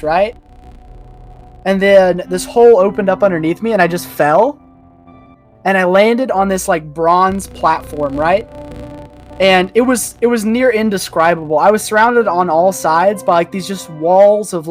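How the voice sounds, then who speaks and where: A man's voice: high-pitched, measured pace, faint music behind. A man talking casually and emphatically as a podcast panelist, telling stories in a colloquial way. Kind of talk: casual chat and storytelling.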